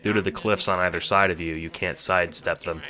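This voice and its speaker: A man narrating an audio drama in an expressive, scripted storytelling style, addressing the listener as the hero. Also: low voice, fairly quick. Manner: animated, expressive. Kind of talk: scripted second-person storytelling.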